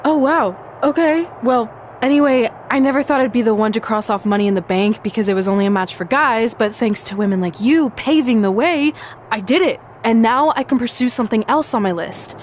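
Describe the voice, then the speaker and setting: A woman's voice: fairly high pitch, a little fast, slight background noise, close to an everyday voice. A woman playing an eager, earnest young female wrestler in a video game, chatty with a dry, self-deprecating wit. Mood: excited, flustered. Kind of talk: video game cutscene acting, conversational.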